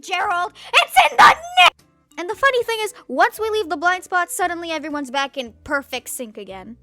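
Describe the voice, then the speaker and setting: A woman's voice: high-pitched, fairly bright tone. A teenage girl from Turkey delivering a scripted vlog monologue in a wry, sarcastic way, with sudden comic outbursts. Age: teens. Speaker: YouTuber.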